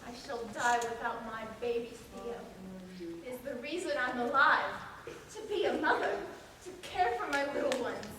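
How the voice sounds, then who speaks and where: A woman's voice: high-pitched, animated, normal speed. A woman acting a distraught, defiant mother committed to an asylum, in a theatrical, emphatic stage manner. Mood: anguished. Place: auditorium stage, recorded from far off. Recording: echoey stage, far mic, faint hiss.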